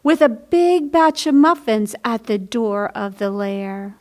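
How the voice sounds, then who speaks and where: A woman's voice: on the high side, expressive, slow. A woman hosting a children's storytime, speaking simply and carefully as she reads and explains. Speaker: library storytime host. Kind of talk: children's picture-book reading and craft instruction.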